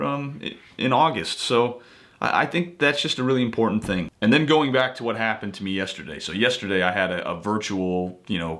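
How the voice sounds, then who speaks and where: Man, lowish voice, conversational, earnest, casual motivational advice, stresses points by repeating them. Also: fairly bright tone. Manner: conversational, earnest, emphatic repetition of key points. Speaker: actor giving acting tips. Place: home office.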